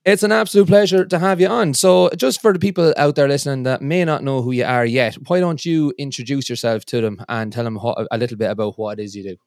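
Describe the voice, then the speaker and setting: Chatty podcast host, dry close sound. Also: male voice, medium pitch, fairly even intonation, fast talker, creaky, hardly any pauses, a little mellow, dry recording. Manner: friendly, chatty with many restarts. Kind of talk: casual podcast chat.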